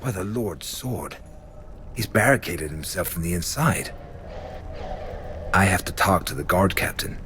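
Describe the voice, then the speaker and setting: An actor playing a stern inquisitor in a video game, speaking gravely and with determination. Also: male voice, sits low, expressive, a little slow, long pauses, noisy background. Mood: frustrated resolve. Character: stern, grim male inquisitor investigating dark crimes. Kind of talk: video game drama acting.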